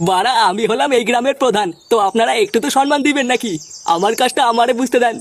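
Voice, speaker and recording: A performer voicing a bossy, blustering older village headman in a comedy cartoon, scolding and swearing in rural Bengali dialect, with a pitch-shifted effect. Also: quick pace. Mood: indignant. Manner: pompous, scolding, foul-mouthed.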